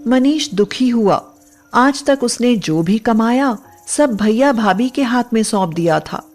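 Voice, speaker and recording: A woman's voice: fairly high pitch, lively intonation, takes it slowly, darkish tone, soft background music. A woman narrating a scripted moral story as a storyteller.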